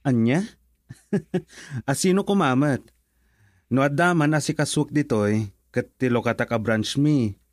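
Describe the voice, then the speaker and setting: A man's voice: medium pitch, measured pace, long pauses, no echo, studio quality, natural voice. A man with a Filipino accent acting in a radio drama as an earnest son in love, eager to marry. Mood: affectionate, teasing. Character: adult son in love, eager to marry, reassuring his mother.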